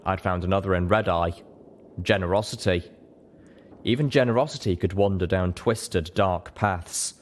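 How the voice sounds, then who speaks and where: A man with a low voice narrates a work of fiction. He reads in the first person, in a dramatic storytelling style suited to an audiobook. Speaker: story reader.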